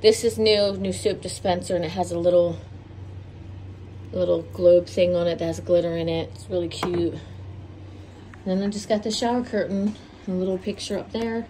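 A woman's voice: lowish voice, little pitch movement, normal speed, dark tone, a little hiss or hum, poor audio. A woman getting over a cold, sounding a little congested, chatting casually as she films a home tour and says where she bought each item. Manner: chatty, listing where items were bought. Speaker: home vlogger. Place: house interior, walking room to room. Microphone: handheld phone camera.

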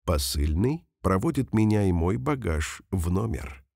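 A man reading example sentences slowly and carefully for language learners, saying each one twice. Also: low voice, lively intonation, vocal fry, darkish tone, dry recording, studio quality. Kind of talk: slow repeated phrase reading for learners.

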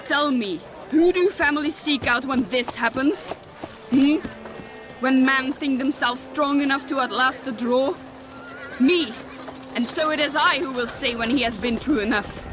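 Stern fantasy matriarch healer: imperious, scornful of fools, formal speech, loud score underneath. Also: female voice, high-pitched, measured pace. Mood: stern, scolding. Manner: imperious, scolding, formal archaic phrasing. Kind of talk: fantasy audio drama acting. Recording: loud music behind the voice.

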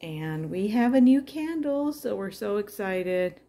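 A woman's voice: mid-range voice, big pitch swings, unhurried, warm tone, a little hiss or hum. A middle-aged woman casually narrating her home cooking, chatty and homey. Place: home kitchen.

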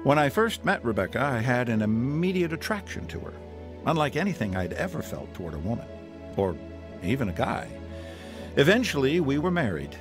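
A man's voice: mid-range voice, big-room sound. An actor playing an earnest, preachy reformed man turned missionary, telling his testimony in a radio drama.

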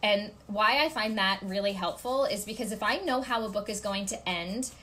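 A woman in her thirties with a congested voice, giving casual writing tips to camera from her home office, chatty and full of ums and quick check-ins. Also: mid-range voice, bright, forceful tone. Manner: chatty, gestures a lot, many ums and "okay?" check-ins. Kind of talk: casual instructional vlog to camera. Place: home office with ceiling fan, indoors.

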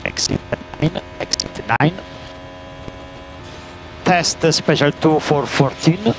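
A man working as a race engineer, giving terse, halting instructions over team radio and repeating key commands. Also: on the high side, gravelly. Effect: team radio transmission. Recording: noisy motor-racing team radio.

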